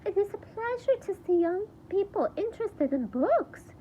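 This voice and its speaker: A woman's voice: extremely high pitch, big pitch swings, moderate pace, mellow, a little hiss or hum, voice pushed up, rough recording. A woman voicing a kindly, gracious lady judge in a storybook, polite and lilting. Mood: pleased, welcoming.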